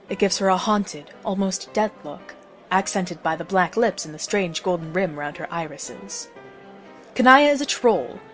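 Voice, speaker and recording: A woman narrating a scripted story as the narrator of an audio drama. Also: mid-range voice.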